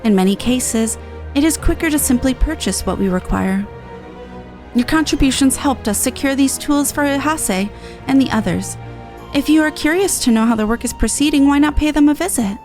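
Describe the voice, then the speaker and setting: A woman's voice: high-pitched, normal speed, darkish tone, soft background music. A woman voicing a gracious, composed female official in a game, with polite formal speech. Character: gracious formal woman speaking for an enclave's leaders.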